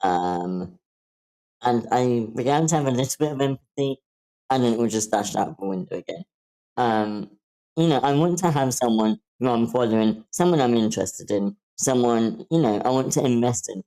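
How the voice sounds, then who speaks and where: A man giving frank critique in an online meeting, blunt, deliberate and emphatic in his delivery. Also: medium pitch, animated, mellow, rough recording. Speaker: table-read participant giving script feedback. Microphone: online meeting call.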